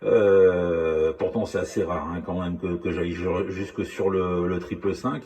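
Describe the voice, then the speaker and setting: A man in a casual radio chat as a hobby CB operator, speaking haltingly and often repeating words. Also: low-pitched, fairly even intonation, darkish tone.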